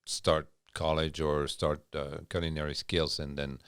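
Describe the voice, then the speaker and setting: Man, French accent, middle-aged, smooth resonant voice, dry sound, hesitant chatty manner. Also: very deep voice, level tone, measured pace, fairly bright tone, no room sound. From France. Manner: hesitant, many fillers and restarts. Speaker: culinary instructor. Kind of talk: casual interview chat.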